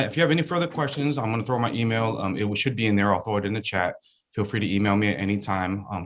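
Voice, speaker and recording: A man with a fairly low voice gives an easygoing welcome talk over a video call. He speaks as a school wellness coordinator, loose and chatty, with plenty of ums and uhs. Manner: relaxed and chatty, many fillers. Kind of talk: casual welcome talk to students and parents.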